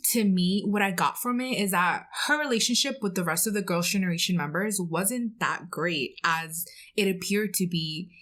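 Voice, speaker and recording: A woman's voice: lowish voice, a bit bright. A woman co-hosting a pop culture podcast in a casual, conversational style. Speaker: podcast co-host.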